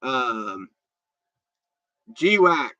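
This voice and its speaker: A man's voice: mid-range voice, very animated, very slow, sharp tone, no room sound, lo-fi sound. A stuffed-up man hosting a casual livestream, chatting loosely and answering viewer comments with many restarts. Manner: rambling and chatty, with repeated restarts. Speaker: livestream host.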